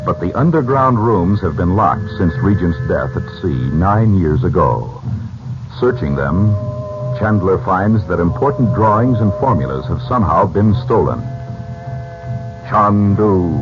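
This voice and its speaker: A very low-voiced American man delivers formal radio announcing with big, theatrical swings in pitch. It is measured, scripted 1940s work. The recording is muffled, with music clearly behind him. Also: expressive.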